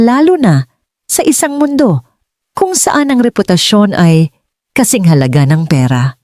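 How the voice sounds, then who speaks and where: A Filipino woman narrates a dramatic story in a fairly low voice. Her intonation moves expressively and her tone is soft-edged and dark. The sound is dry, as in an audiobook. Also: no room sound.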